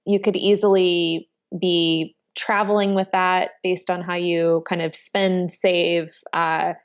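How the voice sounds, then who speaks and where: A woman's voice: on the low side, flat delivery, slow, clear, resonant tone. Woman in her thirties, from Chicago, casual interview answers, frequent ums, muffled lo-fi audio. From Chicago, United States. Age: 30s. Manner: thoughtful, many ums and hedges. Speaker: interviewee, entrepreneur. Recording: dull lo-fi sound.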